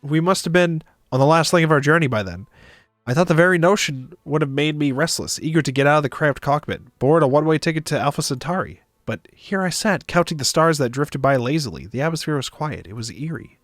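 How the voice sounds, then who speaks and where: A man's voice: a little high, expressive, fairly quick, fairly bright tone, no room sound, studio quality. A man narrating a visual novel aloud for a stream, with casual asides. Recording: music behind the voice. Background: music.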